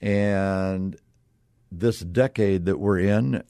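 An American man hosting a casual radio interview in a halting, conversational way. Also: low voice, warm tone, no room sound, clean studio sound. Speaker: radio show host.

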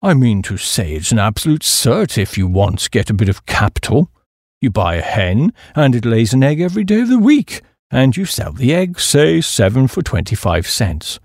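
A man's voice: medium pitch, sing-song, normal speed, a little mellow, no room sound, clean studio sound, pitched below the performer's own voice. A man voicing a sheepish, hapless young English nephew in an audiobook, nervous and rambling. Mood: nervously explaining.

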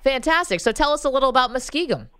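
A woman's voice: high voice, animated, fast talker, fairly bright tone, clear, resonant tone, dry recording. A woman hosting a sports radio show, chatting casually with callers.

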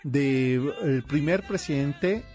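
A man's voice: on the high side, takes it slowly, darkish tone, faint music behind. A man with a Mexican accent hosting a casual radio show, hesitant and searching for words as he talks.

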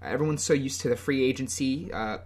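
Relaxed American podcast co-host. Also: male voice, medium pitch, brisk. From United States. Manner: relaxed, rambling, many ums and restarts. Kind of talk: casual soccer podcast talk.

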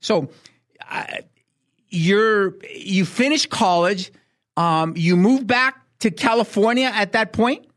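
High-voiced effusive male talk host. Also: slow, fairly bright tone. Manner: effusive, exclaims praise. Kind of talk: talk show interview.

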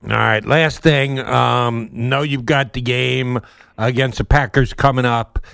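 A man's voice: medium pitch, fairly even intonation, slow, vocal fry, a bit bright, clear, resonant tone, no room sound, clean studio sound. A man hosting a sports radio show, asking his guest long, conversational questions.

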